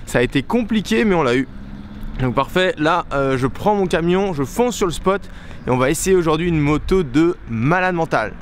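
Young man, fairly high voice, chatty vlog talk, camera at arm's length, faint outdoor noise. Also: lively intonation, moderate pace. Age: young adult. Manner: chatty, explains things with many fillers and restarts. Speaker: motorcycle stunt vlogger. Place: outdoors on a paved lot. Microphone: handheld camera at arm's length. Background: outdoor ambience, passing plane.

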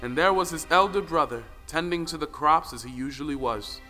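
A man's voice: fairly high pitch, brisk, bright, forceful tone. A man reading scripted narration for a folktale audio drama. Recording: long reverberant hall sound. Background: music.

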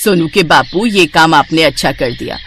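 Lady doing older village mom, bossy but loving, very expressive, cartoon story, bit of noise. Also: deep voice, moderate pace.

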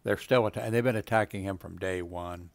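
Old guy in his 70s at his desk, gravelly lowish voice, rambly with lots of uhs, dry close sound. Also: fairly even intonation, a little fast, no room sound. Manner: meandering, many fillers and trailing asides. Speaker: video blogger. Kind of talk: rambling casual commentary while reading web pages. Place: home desk with computer.

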